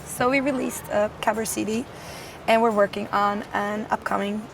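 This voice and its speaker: Hoarse woman singer chatting, gravelly, mic a bit distant. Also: mid-range voice, fairly even intonation, moderate pace. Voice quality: naturally hoarse voice. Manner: friendly, laughs easily. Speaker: musician guest. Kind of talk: casual interview. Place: outdoors at a festival. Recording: distant mic, faint street noise.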